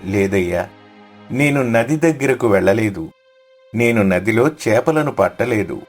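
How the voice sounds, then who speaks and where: A man's voice: on the low side, animated, unhurried, soft background music. A man playing a humble, devout poor fish seller in scripted story dialogue, respectful and pleading.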